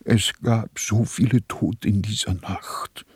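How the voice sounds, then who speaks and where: An actor in a radio play voicing a weary, shrewd small-time dealer with a rough, resigned way of talking. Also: male voice, on the low side, fairly even intonation, takes it slowly, darkish tone, no echo, exaggerated voice. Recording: dry studio with faint music.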